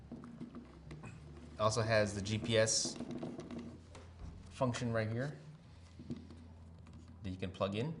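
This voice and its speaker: Low-voiced man demonstrating a product, noisy background. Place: room with brick wall, at a table.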